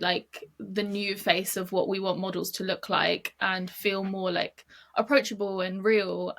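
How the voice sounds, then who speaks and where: A woman's voice: mid-range voice, creaky, fairly bright tone. A young British woman chatting as a podcast guest, filling her talk with "like" a lot. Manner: chatty, fills with "like" often. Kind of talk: casual interview chat.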